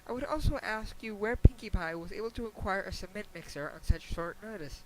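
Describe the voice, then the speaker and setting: A man voicing a regal, patient pony princess who gently admonishes her student in a formal, wry, measured way, reading a fan fiction letter. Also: sits high, natural voice, rough recording. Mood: proud, wry. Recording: hissy amateur home recording.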